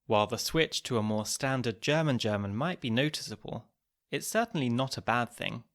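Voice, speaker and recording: A man hosting a podcast, narrating in a measured, explanatory way. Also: mid-range voice, expressive, a bit bright. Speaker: podcast host.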